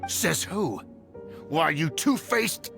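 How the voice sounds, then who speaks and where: A man's voice: fairly high pitch, animated, takes it slowly, creaky, full character voice. A man playing a scheming, obsequious elderly nobleman in a video game, fawning, blustering and prone to stammering. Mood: indignant. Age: older. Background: background music.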